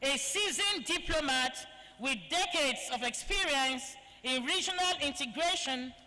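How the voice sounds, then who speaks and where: A woman with a high, bright voice hosts a formal conference as master of ceremonies. She reads distinguished guests' credentials in a ceremonious tone. Her handheld mic carries into a large, echoing conference hall. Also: expressive, unhurried. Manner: ceremonious, reads guest bios aloud with honorifics. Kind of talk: formal event introductions.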